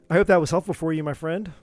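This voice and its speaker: A man doing a live tarot reading, talking in an earnest, encouraging way with many repeats, his voice going a bit hoarse. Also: fairly high pitch, darkish tone, dry recording. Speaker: tarot reader.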